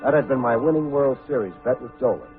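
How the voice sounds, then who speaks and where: An actor playing a wry, hard-boiled private detective in an old-time radio drama, speaking in a clipped, sardonic way. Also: male voice, mid-range voice, lively intonation, fast talker, close to an everyday voice. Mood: wry narrating. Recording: muffled, phone-like old radio recording with faint music.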